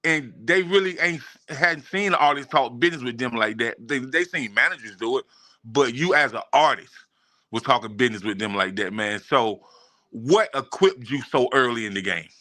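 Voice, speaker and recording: A man's voice: medium pitch, expressive, normal speed, sharp tone, no room sound. A man hosting a casual interview, speaking in slang and repeating phrases for emphasis. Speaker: interview host.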